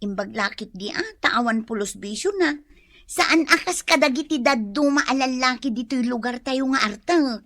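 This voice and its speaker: A woman's voice: sits high, moderate pace, a bit bright. A woman with an Ilocano accent acting a gossipy, complaining village mother in a comedy radio drama.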